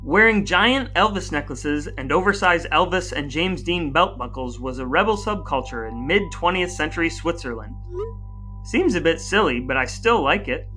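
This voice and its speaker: A man's voice: fairly high pitch, expressive, normal speed, sharp tone, soft background music. An American man co-hosting a podcast, moving between scripted intros and casual chat in a playful, wordy, announcer-like way. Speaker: podcast co-host.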